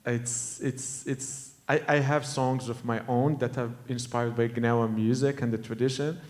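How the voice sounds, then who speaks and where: Man in his thirties, musician guest, earnest, halting, mid-pitched voice. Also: steady tone. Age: 30s. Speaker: Gnawa musician, panel guest. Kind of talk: casual panel conversation.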